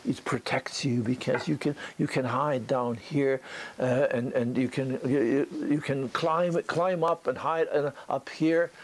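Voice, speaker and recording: A man who speaks as a gives an unscripted critique as a teacher, thinking aloud haltingly with a whimsical storytelling streak. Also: mid-range voice, steady tone. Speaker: bonsai teacher giving tree critiques. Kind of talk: unscripted spoken critique and demonstration. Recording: noisy ambient location recording.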